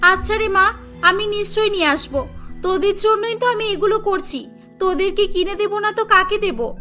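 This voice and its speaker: A woman's voice: very high voice, normal speed, pushed and bright, soft background music. A woman voicing a humble, earnest poor village mother in a cartoon story. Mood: loving. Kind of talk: Bengali cartoon story dialogue.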